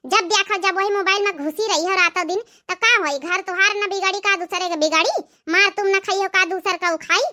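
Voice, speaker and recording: A gossipy, opinionated village daughter-in-law from a cartoon comedy played by a woman. The voice is extremely high and bright, almost childlike in pitch, with an Awadhi accent and a talkative, advising manner. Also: steady tone, a little fast. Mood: chiding. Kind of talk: animated family comedy dialogue.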